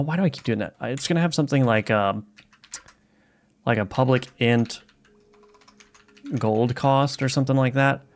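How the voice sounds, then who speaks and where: A man streaming live as a game developer, talking casually and thinking aloud with many fillers and restarts while he codes. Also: medium pitch. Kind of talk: live coding stream, reading chat. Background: soft lofi music.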